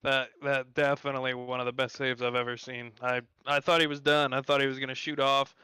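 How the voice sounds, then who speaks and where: A man's voice: mid-range voice, bright, forceful tone, clean tone, dry recording. A man interviewing drivers on a sim racing broadcast, easygoing and folksy as he retells the action.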